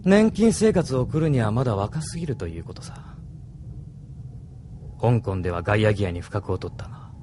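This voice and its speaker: A man's voice: fairly low pitch, animated, moderate pace, pauses often, warm tone, slight background noise, close to an everyday voice. A man playing a self-assured veteran major and ace pilot in an anime audio drama. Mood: confident. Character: proud veteran male ace pilot, vengeful. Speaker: military major.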